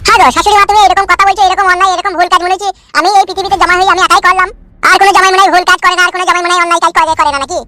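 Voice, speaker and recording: A man voicing a shameless young son-in-law in a cartoon, pleading and foul-mouthed, with a pitched-up voice. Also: flat delivery, rapid-fire, background noise. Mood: aggrieved.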